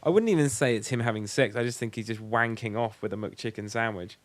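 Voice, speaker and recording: A man's voice: fairly low pitch, brisk, dry recording. A man co-hosting a podcast in casual, sweary banter, with a crude joking way of talking. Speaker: podcast co-host.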